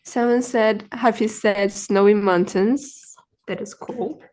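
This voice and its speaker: A sixteen-year-old girl from Turkey sharing her views in a soft-spoken, earnest way. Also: mid-range voice, steady tone, takes it slowly. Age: teens. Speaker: student presenter.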